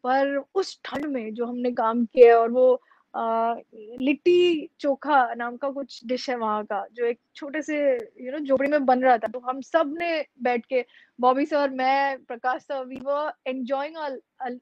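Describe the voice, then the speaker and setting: Young woman, from Mumbai, high-pitched, chatty anecdotal interview talk, slightly dull recording. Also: steady tone, slow, darkish tone, no echo. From India, Mumbai. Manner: chatty, mixes English into Hindi, many fillers. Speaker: actress being interviewed.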